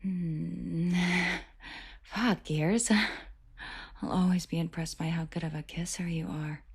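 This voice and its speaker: Woman acting as a breathy villainess girlfriend, lowish voice, slow and teasing, super doting, romance audio roleplay. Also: dark tone, exaggerated voice. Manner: intimate, teasing, sweet-talking with breathy whispers. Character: tall, confident villainess, teasing, doting, protective lover.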